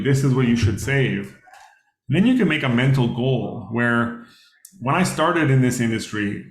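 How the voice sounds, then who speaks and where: Man, 30s, workshop presenter; easygoing, conversational delivery, mid pitch, echoey room sound. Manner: conversational, many fillers, asks the audience questions. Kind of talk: casual workshop lecture. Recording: roomy echo.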